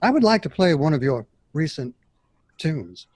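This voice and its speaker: A man's voice: fairly high pitch, big pitch swings, normal speed, a little mellow. A man acting as a showy 1960s jazz radio host, announcing in a projected manner. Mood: upbeat announcing.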